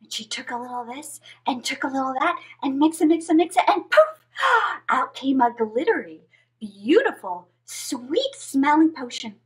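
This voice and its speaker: A woman's voice: high voice, very animated, moderate pace, low-quality recording. A woman in her thirties hosting a children's story time, telling tales with exaggerated expression. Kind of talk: animated storytelling for young children.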